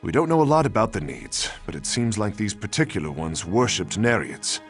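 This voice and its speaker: A man's voice: fairly low pitch, big pitch swings, a little fast, soft background music. A man playing an intrigued explorer of ancient ruins in fantasy game dialogue, musing as he explains.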